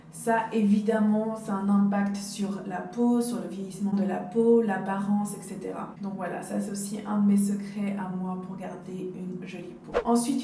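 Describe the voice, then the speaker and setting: A woman's voice: medium pitch, dark tone. A woman in her late twenties chatting casually to camera as a beauty vlogger, giving personal advice and addressing her viewers directly. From Dominican Republic. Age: late 20s. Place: indoors, hotel. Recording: roomy, distant mic, faint background noise.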